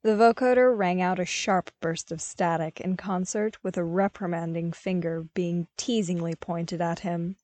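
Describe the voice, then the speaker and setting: A woman reading scripted fiction as an audiobook narrator, with expressive storytelling delivery. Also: fairly low pitch, normal speed, few pauses, no echo.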